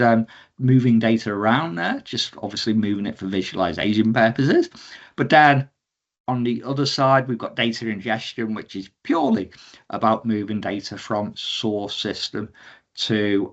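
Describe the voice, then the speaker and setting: A man giving an informal technical presentation on a video call, explaining in a conversational way. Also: on the low side. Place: indoor room, on a video call. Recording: dull, lo-fi video-call audio.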